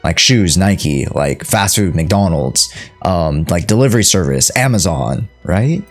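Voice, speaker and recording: A game streamer comments casually on a playthrough. The voice is animated and slangy, moving freely in pitch, with faint game music behind. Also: measured pace.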